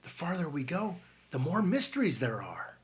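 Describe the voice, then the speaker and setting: A man's voice: fairly high pitch, big pitch swings, moderate pace, breathy edge, voice pushed up, full character voice. A man reading an audiobook and voicing a blunt, wary teenage boy who grumbles.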